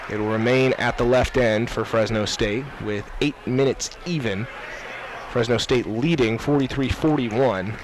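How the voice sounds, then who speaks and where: A young man calling live basketball play-by-play in clipped running phrases, raising his voice for key plays. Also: medium pitch. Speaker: play-by-play announcer. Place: courtside in a basketball gym. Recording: noisy gym with loud crowd behind. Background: crowd, bouncing basketballs.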